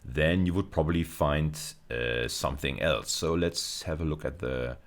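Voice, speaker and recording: Male university lecturer, very low bass voice, halting explanatory delivery for technical teaching.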